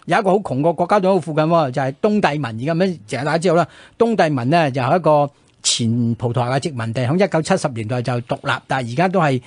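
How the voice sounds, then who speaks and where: A man with a Hong Kong Cantonese accent tells history as a radio co-host. He talks quickly in a dry, close sound. He explains conversationally and keeps checking in with short rhetorical questions. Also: on the high side, expressive, a little mellow, dry recording.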